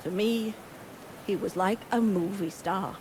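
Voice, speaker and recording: Over clear ambient noise, an actress voices a world-weary older woman who runs an inn. She is blunt and dismissive, with the bitterness of a former resistance fighter in a story-driven game. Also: medium pitch, unhurried, natural voice. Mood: gruff, reminiscing. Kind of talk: video game voice acting.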